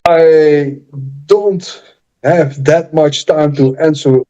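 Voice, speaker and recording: A man with a Dutch accent hosting a casual live chat, talking in halting restarts and earnest promotion. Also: a little high, warm tone, lo-fi sound. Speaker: channel host and author.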